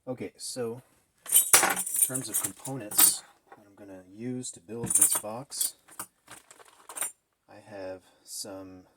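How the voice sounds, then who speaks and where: Deep-voiced man thinking aloud while working. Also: slow, pauses often. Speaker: DIY maker, host. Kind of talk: casual workshop build narration. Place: indoor garage workshop. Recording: noisy workshop recording with clicks.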